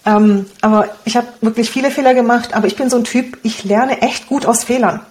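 A woman in her forties from Romania whose first language is Hungarian, a guest blogger and copywriter talking casually in an interview in a lively, cheeky way. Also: fairly high pitch, brisk, slight background noise. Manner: lively, cheeky, laughs often.

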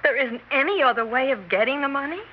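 A woman's voice: high-pitched, lively intonation, quick pace. A woman playing a naive, eager, stage-struck young actress in an earnest, impressionable way, in a 1960s radio drama. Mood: anxious, hopeful. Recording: noisy, dull 1960s radio recording.

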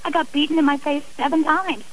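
A woman's voice: high voice, steady tone, fairly quick, fairly bright tone, low-quality recording. A woman speaking earnestly and emphatically as a guest in a radio interview. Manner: emphatic, impassioned.

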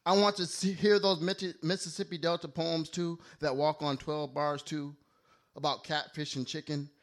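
A man performs a slam poem live on stage. His voice sits a bit high. He rolls through rhyming lists with a playful, bouncing rhythm. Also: little pitch movement, moderate pace. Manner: playful, rhyming, list-driven delivery. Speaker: slam poet. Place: live poetry slam venue.

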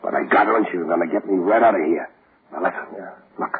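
An actor playing a tough, scheming convict in a 1940s radio drama, with gruff slangy talk. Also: male voice, low-pitched, fast talker, lightly acted. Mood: tense, gruff. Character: tough, scheming male convicts plotting a prison escape. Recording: noisy, muffled 1940s radio recording.

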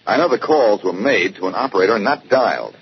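An actor playing a confident, wry amateur detective, brisk and probing, in 1940s radio style. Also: male voice, fairly low pitch, expressive. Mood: brisk, genial. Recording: muffled, noisy old-time radio recording.

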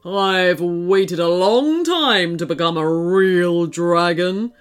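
A woman's voice: lowish voice, clear, resonant tone. A woman voicing an evil chocolate dragon in a children's story, menacing and sneering as it draws out its words. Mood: gloating. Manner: menacing, sneering, drawn-out delivery.

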